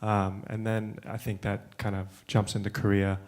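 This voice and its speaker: A man who grew up in the United States, speaking on a conference panel in a relaxed way with many fillers and restarts. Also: fairly low pitch, moderate pace. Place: conference room.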